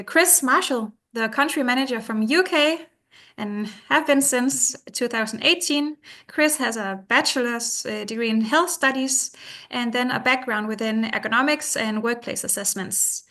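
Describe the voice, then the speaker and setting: A woman with a Danish accent giving a careful, measured webinar presentation in English, her second language, over a video call. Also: fairly high pitch, pushed and bright. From Denmark. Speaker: webinar presenter, product manager.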